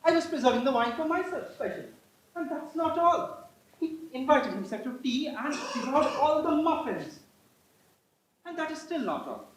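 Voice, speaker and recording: A stage performer playing a stiff, formally polite and aggrieved Victorian gentleman guardian in a comedy, with halting, fussy delivery. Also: high-pitched, animated. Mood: indignant. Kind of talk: theatrical stage comedy acting. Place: indoor stage, far from microphone. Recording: very echoey distant stage play recording.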